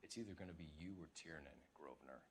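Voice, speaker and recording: A man's voice: extremely low pitch, racing, noisy background. A man playing a hard-nosed police detective in a video game, pressing suspects with clipped accusations. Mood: probing, stern. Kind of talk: dramatic video game acting.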